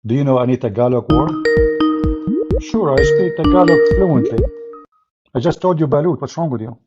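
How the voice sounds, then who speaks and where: Husky-voiced man hosting a livestream. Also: mid-range voice, normal speed, darkish tone, faint music behind. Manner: mocking, sarcastic, non-native English phrasing. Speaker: livestream host. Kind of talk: livestream talk with callers. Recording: dull lo-fi stream audio.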